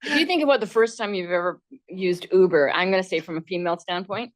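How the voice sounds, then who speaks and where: A woman's voice: on the low side, fast. A woman co-founder on a conference panel tells a chatty personal story, then turns businesslike about her company. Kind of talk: panel talk with personal anecdote. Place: conference room with audience.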